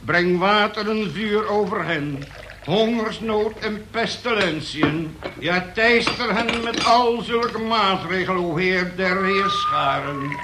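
Through heavy noise on an old radio play, a zealous male preacher declaims in a high voice. He is pompous and solemn, drawing out every phrase of his wrathful prayers. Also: slow pace. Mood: wrathful, declaiming. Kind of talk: radio play acting, chanted sermon-like prayer.

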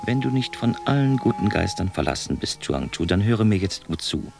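A man's voice: deep voice, sing-song, normal speed, creaky, full character voice. An actor playing a grumpy, imperious god of fate in a radio play, pompous and scolding in his speech. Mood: lofty, admonishing.

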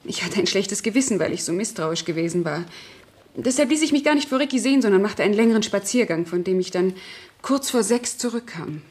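An actress playing an evasive, secretive family friend in a German radio crime play, smoothly explaining things away. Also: female voice, mid-range voice, expressive, a little fast, darkish tone, natural voice. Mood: apologetic, explaining. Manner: explains herself smoothly, plays down worries.

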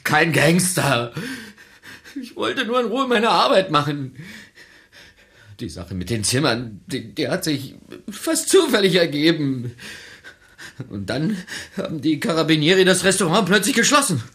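Scared cook in radio crime play, stammers, pleads, high voice jumping all over. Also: male voice, big pitch swings, unhurried, fairly bright tone, exaggerated voice. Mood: subdued, fearful. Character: frightened, evasive male restaurant cook under police pressure. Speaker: actor in a radio crime drama. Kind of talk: German radio drama acting.

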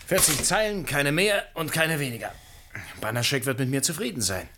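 An actor playing a cocky, ambitious newspaper reporter with a persuasive manner in a radio play. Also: male voice, a little high, expressive, moderate pace, sharp tone, slight background noise. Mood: self-satisfied. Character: glib, ambitious, debt-ridden newspaper reporter.

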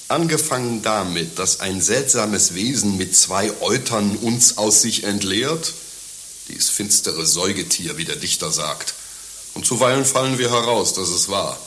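An actor playing a morose, cynical pessimist in a German radio play, lecturing with pompous, sardonic disgust. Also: male voice, low-pitched, bright, forceful tone, a little hiss or hum.

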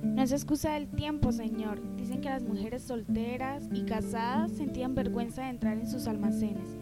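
A woman plays an outspoken young history buff with a Colombian accent. She has a slightly high, articulate voice and explains like a keen student, with prominent music under the radio drama. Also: moderate pace, lightly acted. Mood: earnest, lecturing.

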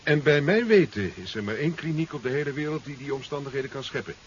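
A man's voice: mid-range voice, lively intonation, quick pace, natural voice. A man acting an earnest physicist in a 1970s radio drama, explaining things in a hesitant way. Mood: insistent. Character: male physicist doctor, earnest, explaining lab findings. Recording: muffled 1970s radio recording, faint hiss.

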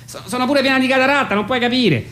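A man's voice: very high voice, lively intonation, fast talker, pushed and bright, voice pushed up, exaggerated voice. A man voicing a forgetful, self-absorbed old woman in an offhand way for a comic radio phone dialogue. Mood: indifferent, complaining. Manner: blunt, offhand, indifferent.

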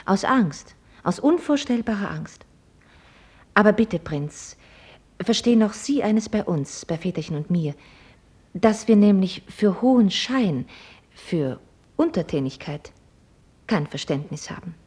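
A proud young woman of wealth in a radio play. Her voice is slightly husky and mid-pitched. She speaks with formal, mocking politeness and sharp, probing irony. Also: animated, unhurried, long pauses. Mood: earnest, challenging. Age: young adult. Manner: ironic, pointedly formal, probing. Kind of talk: German radio play acting.

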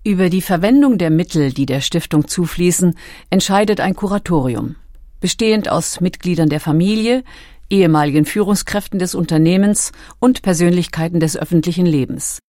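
A woman's voice: lowish voice, brisk, dark tone, no echo, studio quality. A woman reading scripted documentary narration. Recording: music behind the voice. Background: background music.

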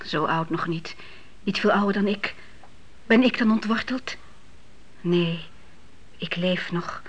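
A woman playing an older widowed mother in a radio play, grieving, solemn and firm in her faith, with a husky, often hushed voice. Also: lowish voice, slow pace, dull audio. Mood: sorrowful, hushed. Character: grieving, devout old widowed mother, stern and unyielding in faith.

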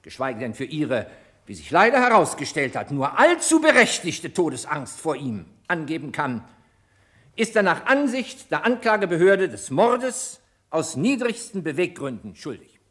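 An actor playing a haughty, sarcastic public prosecutor in a radio crime play, speaking with biting irony and pointed rhetorical pauses. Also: male voice, a little high, very animated, fairly bright tone. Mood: forceful, condemning. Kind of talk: German radio crime play acting, courtroom oratory.

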